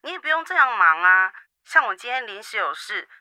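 A woman's voice: mid-range voice, sing-song, brisk, sharp tone, no room sound, studio quality. A woman as a wheedling busy daughter. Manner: wheedling and excuse-making, suspicious of others. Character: busy married daughter, placating and evasive. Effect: phone call. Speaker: daughter on the phone in a radio drama. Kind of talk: radio drama acting.

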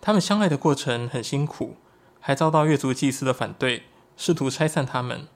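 Guy voicing an earnest young shapeshifter guy in a radio drama, eager and expressive, medium pitch. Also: a little fast, natural voice. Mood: solemn storytelling. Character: earnest, excitable young shapeshifting moon-tribe man. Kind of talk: Chinese audio drama acting.

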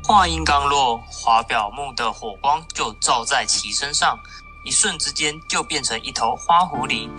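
A man's voice: mid-range voice, huge pitch swings, normal speed. A young man reading scripted story narration for a radio play. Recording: music behind the narration.

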